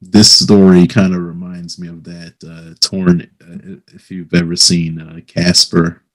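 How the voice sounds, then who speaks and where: A man hosting a casual interview, speaking in a hesitant, halting conversational way. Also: low voice, level tone. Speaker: interviewer, host.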